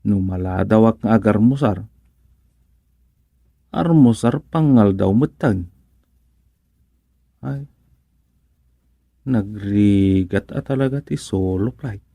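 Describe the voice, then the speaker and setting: A man's voice: lowish voice, takes it slowly, creaky, long pauses, dark tone, dry recording, exaggerated voice. An actor playing an easygoing village man who mutters and muses to himself, with an Ilocano accent, in a radio comedy-drama. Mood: musing, grumbling. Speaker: radio serial character.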